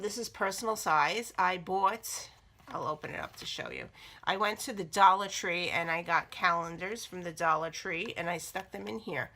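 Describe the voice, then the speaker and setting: A middle-aged woman talks casually to camera about her reading and journals, her voice slightly raspy from a cold. Her tone is bright and forward. She rambles, with frequent ums and restarts. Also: medium pitch. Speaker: book vlogger. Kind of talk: casual chat showing items to camera.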